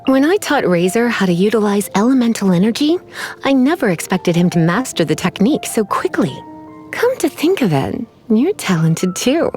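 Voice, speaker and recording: A woman's voice: medium pitch, sing-song, darkish tone, faint music behind, lightly acted. Sultry, teasing sorceress, played by a woman, lilting voice. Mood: teasing, musing. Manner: sultry, teasing, unhurried, coy. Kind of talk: video game character voice lines.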